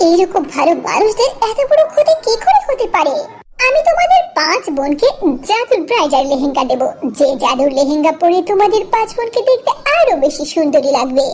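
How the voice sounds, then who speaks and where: A woman puts on a kind magical fairy for a cartoon. Her voice is very high and leaps around melodically. She sounds soothing and assured in a theatrical way. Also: very animated, a little fast, heavy background noise. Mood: concerned, reassuring. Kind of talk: cartoon story character acting.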